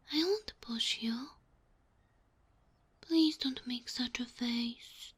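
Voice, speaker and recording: Woman voicing a breathy, high-pitched, sweet fox-girl spirit. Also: exaggerated voice. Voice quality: soft, breathy, often whispering. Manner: gentle, bashful, flustered hesitations. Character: sweet, shy, submissive young female kitsune fox spirit familiar. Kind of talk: ASMR roleplay.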